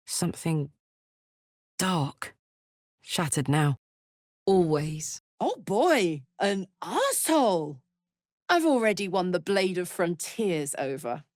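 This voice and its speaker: Woman playing battle-hardened warrior woman, video game character, blunt, warm-hearted, very expressive pitch, unhurried, dry close recording. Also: no echo, close to an everyday voice. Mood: low, uneasy, then playful, cocky. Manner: blunt, swearing, swinging between playful and intense.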